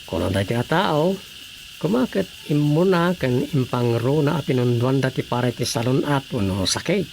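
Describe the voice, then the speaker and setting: Gravelly ilocano know-it-all everyman, radio comedy drama, emphatic explainer, faint insect noise. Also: mid-range voice, lively intonation, normal speed, darkish tone. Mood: earnest explaining.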